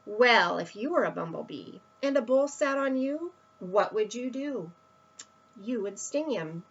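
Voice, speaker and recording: A woman reads a children's story aloud in a fairly high voice. She takes her time, stressing words expressively as if to young listeners. The recording is slightly dull and lo-fi. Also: animated, measured pace, husky. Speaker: storyteller reading a picture book to children.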